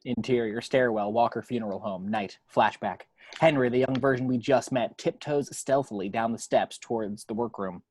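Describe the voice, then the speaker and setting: A man's voice: mid-range voice, normal speed, a little mellow, no echo. A man narrating screenplay action lines at an amateur table read in a matter-of-fact storytelling way.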